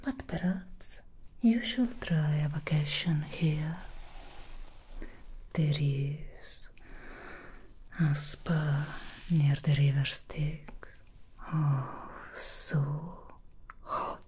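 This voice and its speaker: A woman's voice: sits low, very slow, pauses often, warm tone, a little hiss or hum. A woman playing a sly, aloof female demon hair stylist in an ASMR roleplay, whispering and teasing, disdainful of mortals.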